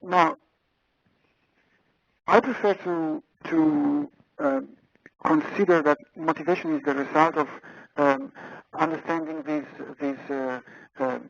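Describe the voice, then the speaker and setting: A man's voice: on the high side, very animated, takes it slowly, creaky, long pauses, no echo. Hesitant Italian man on a muffled line. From Italy. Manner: hesitant, many fillers and repeated words. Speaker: webinar speaker. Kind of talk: presenting a webinar. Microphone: phone handset.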